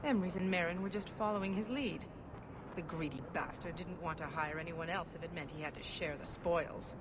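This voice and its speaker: Woman acting a low-voiced, blunt dwarf woman. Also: moderate pace, background noise, natural voice. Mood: scornful. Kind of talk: video game voice acting.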